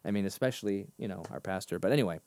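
A man co-hosting a casual faith discussion, talking animatedly and breaking into higher voices when he quotes himself. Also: rapid-fire, no echo. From Boston area. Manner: animated, laughs often, jumps pitch in quoted asides. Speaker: podcast co-host.

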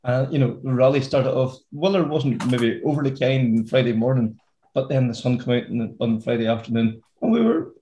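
A man's voice: mid-range voice, lively intonation, fairly quick, dark tone, slight background noise, poor audio. A man hosting a podcast in a chatty, upbeat way with plenty of fillers. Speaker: podcast host. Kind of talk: casual podcast chat.

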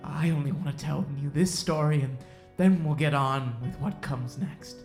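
A woman plays a cold, self-righteous Puritan sheriff in an audio drama, a man who preys on the weak. The voice is low and soft-edged, brooding through long pauses. It sounds in a roomy echo with music underneath. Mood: cold, confiding. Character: menacing, self-righteous male Puritan sheriff, coldly predatory. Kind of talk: audio drama acting, slow dramatic monologue.

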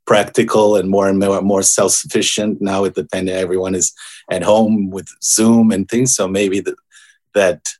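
Deep-voiced man in his forties from Brazil. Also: level tone, a little mellow. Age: 40s. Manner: thoughtful, halting, with many restarts. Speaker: guest composer. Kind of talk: casual interview conversation.

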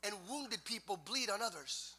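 A man preaching in a church, his voice sitting very high for a man. The tone is strikingly bright and cutting. He shifts from soft explaining to loud, half-sung preaching. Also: lively intonation, moderate pace. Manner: builds from quiet talk to loud sung preaching. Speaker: pastor. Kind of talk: sermon. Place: church sanctuary.

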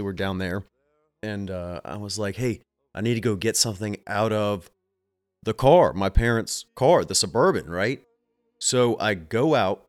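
A man's voice: fairly low pitch, fairly bright tone. A man in his thirties with a stuffy, nasal voice, telling a rambling story as a show host and often stopping to restart his sentences. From US Southeast. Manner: rambling, self-interrupting, chuckling. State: stuffy nose. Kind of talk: casual storytelling. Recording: music playing behind.

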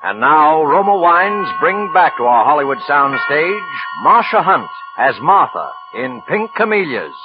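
A man announces a radio show in dramatic 1940s style. His voice sits a little high. Music plays clearly behind him on a dull old recording. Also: big pitch swings, a little slow, few pauses. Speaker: program announcer.

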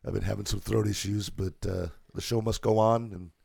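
A hoarse man with a deeply gravelly, low, dark voice, co-hosting a laid-back wrestling chat. He reads through a list of anniversaries in an easygoing way. The sound is dry and close. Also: fairly quick, dry recording. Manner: relaxed, reads off a list of dates. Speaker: podcast co-host.

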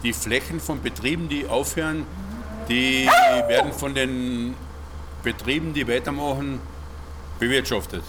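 Interviewed farmer, man, middle-aged or older, medium pitch, halting unscripted answers. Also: fairly even intonation, very noisy. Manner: halting, repeats words while searching.

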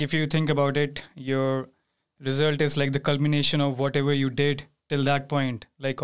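A young man from India, a student guest who speaks earnestly and haltingly, with many "uh"s and "like"s. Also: medium pitch, smooth.

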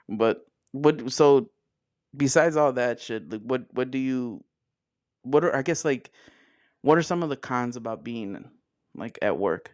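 A man's voice: medium pitch, lively intonation, slow pace, long pauses, darkish tone, no room sound, clean studio sound. Profane, joking young man on a podcast. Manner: profane, joking, laughs often. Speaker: podcast host. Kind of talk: casual podcast chat.

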